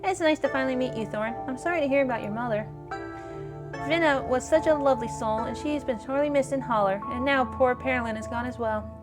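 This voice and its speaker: A woman performs a kindly older spiritualist lady from a small-town mystery game. Her voice is high, genteel and folksy. She is motherly and chatty, and soft music plays underneath. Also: quick pace, close to an everyday voice. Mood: warm, welcoming. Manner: genteel, folksy, fond endearments.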